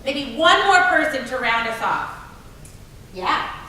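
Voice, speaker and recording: A woman's voice: high voice, sing-song, slow, pushed and bright. A woman facilitating a community workshop discussion, engaged and affirming, often checking in with "right?". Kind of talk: leading a group discussion. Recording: echoey room, distant mic, faint noise.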